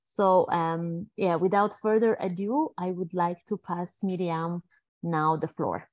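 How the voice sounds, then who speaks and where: A woman with a fairly low voice opens the webinar and introduces the speaker, welcoming and a little hesitant with frequent fillers. Her voice comes through a dry, dull-sounding online call. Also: steady tone, takes it slowly, darkish tone, no room sound. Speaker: webinar facilitator. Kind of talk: formal introduction. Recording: dry lo-fi video call audio.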